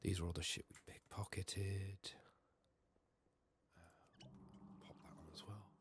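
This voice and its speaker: A man giving soft-spoken, partly whispered ASMR commentary on a game he is playing, murmuring short remarks to himself. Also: low-pitched, flat delivery, slow. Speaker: game streamer. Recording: keyboard and mouse clicking noise. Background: computer keyboard typing and clicks.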